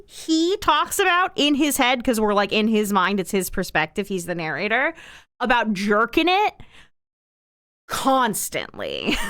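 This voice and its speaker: A woman livestreamer chats off the cuff with a wry delivery and a very lively, swooping pitch. She stretches and repeats words for exaggerated emphasis. The sound is dry, with very little room in it. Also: no room sound. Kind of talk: casual rambling chat to stream viewers.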